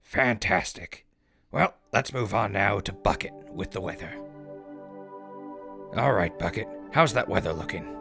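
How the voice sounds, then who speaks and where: A man portrays an easygoing ghoul radio host in a post-apocalyptic drama. The voice sits low and rasps with fry. The patter is calm and friendly, over clearly audible music. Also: a little slow, pauses often. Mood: upbeat. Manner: calm, affable, conversational.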